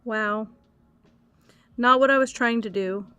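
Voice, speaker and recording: A young woman with a hoarse throat, chatting casually and swearing while she streams and sketches. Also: a little high, takes it slowly, bright, forceful tone, muffled recording. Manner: swearing, talkative, complaining. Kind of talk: casual livestream chat while drawing.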